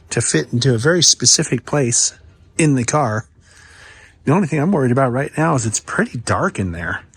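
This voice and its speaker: A man's voice: mid-range voice, slight background noise. A man hosting a vlog about railway preservation, talking casually and enthusiastically to camera outdoors at a rail yard. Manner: chatty, enthusiastic tour-guide talk. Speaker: host documenting railway preservation.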